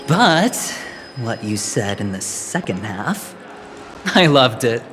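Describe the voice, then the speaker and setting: A man playing a suave, courteous gentleman in a video game, with a polite, flowery, theatrical manner. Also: medium pitch, wildly swooping pitch, extremely slow, in a large hall, over music, exaggerated voice. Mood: gracious, effusive.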